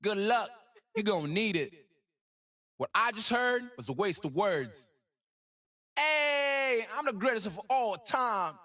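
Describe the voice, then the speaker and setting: A man's voice: high-pitched, very animated, takes it slowly, pauses often, bright, forceful tone, full character voice. An actor voicing a cocky, ambitious young male hip-hop star in a video game, with swaggering, slangy, rhythmic delivery. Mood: boastful. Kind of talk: game voice lines.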